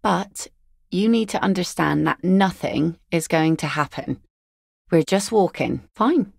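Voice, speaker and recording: A gravelly, deadpan net mender. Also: female voice, fairly low pitch, a little slow, dry recording, heavily acted. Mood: wry. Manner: dry, deadpan and teasing. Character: weary, sardonic adult female net mender with kids. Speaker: voice actress. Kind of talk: video game voice lines.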